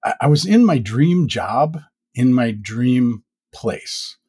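Husky, reflective man in his fifties. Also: medium pitch, lively intonation, slow, mellow. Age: 50s. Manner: reflective, rambling with many fillers. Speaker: podcast host and guest. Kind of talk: casual interview conversation.

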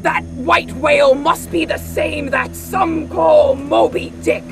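A woman playing an eager, excitable whaling-ship crew member in an audio play. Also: high-pitched, slow, creaky, music in the background, heavily acted. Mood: excited.

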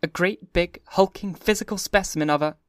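A man voicing a bubbly, wistful young society wife in a high, lilting, breathy voice. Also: fairly quick, fairly bright tone, dry recording, studio quality. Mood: teasing.

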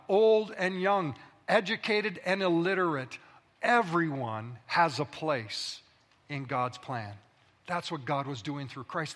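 A male pastor giving a Christmas sermon, preaching with emphasis and slowing down to stress each item in a list. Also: on the high side, fairly bright tone. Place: large church hall. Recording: long hall reverb.

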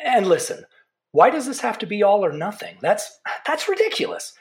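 Actress as fervent campaigner, insistent and rhetorical, big melodic swings, audio drama debate. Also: female voice, mid-range voice, very animated, normal speed, natural voice. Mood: indignant, sardonic. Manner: emphatic, repetitive, rhetorical. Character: impassioned political campaigner for safety, zealous and insistent. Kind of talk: fiction podcast drama, talk show debate.

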